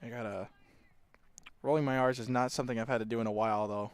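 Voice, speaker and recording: A man's voice: mid-range voice, steady tone, fast, smooth, no room sound. A man with a Californian accent giving laid-back, conversational play-by-play at a college soccer match. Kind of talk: play-by-play commentary.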